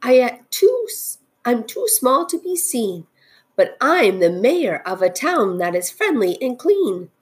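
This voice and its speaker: Woman doing a tiny piping storybook mayor, high-pitched. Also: very animated, measured pace. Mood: proud, friendly. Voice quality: small, piping. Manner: friendly, courteous. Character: tiny, polite, grateful mayor of speck-sized people.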